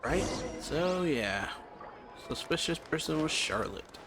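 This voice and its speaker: A woman's voice: low-pitched, animated, slow pace, a bit bright, slight background noise. A woman giving casual, laid-back let's play commentary as a gaming video host, in short murmured reactions.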